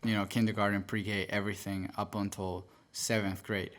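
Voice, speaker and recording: A young man from El Salvador speaks as a podcast guest in a lowish voice. He tells his story earnestly and casually, and he stops often to restart and correct himself. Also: level tone, normal speed, a bit bright, a little hiss or hum. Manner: earnest, with many fillers and self-corrections.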